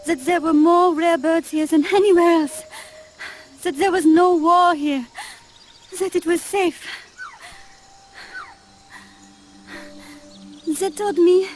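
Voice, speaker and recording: A woman's voice: very high voice, steady tone, unhurried. An actress playing an earnest, plaintive French woman, a stranded birdwatcher, with a French accent in a video game cutscene. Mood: shaken. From France. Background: music.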